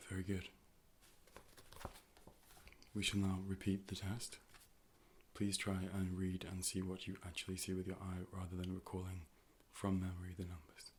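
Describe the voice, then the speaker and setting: A man playing a calm, polite robotic AI optician in an ASMR role play, speaking in a measured, formal, deadpan way. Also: sits low, slight background noise, close to an everyday voice. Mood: hushed, clinical. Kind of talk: ASMR medical role play, personal attention.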